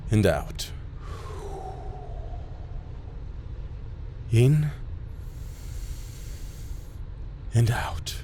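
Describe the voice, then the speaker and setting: A man playing a caring, worried ambulance medic who gently reassures an injured patient in an immersive audio roleplay. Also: medium pitch, a little hiss or hum, lightly acted. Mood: calm coaching. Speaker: voice actor.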